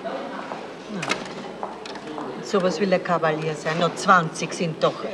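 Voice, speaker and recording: An actress playing a proud, insistent dog owner in a 1970s German radio crime comedy. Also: female voice, on the low side, fairly even intonation, a little slow, noisy background, close to an everyday voice. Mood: haggling. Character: doting, proud, haggling female dog owner. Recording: 1977 radio play, voices behind.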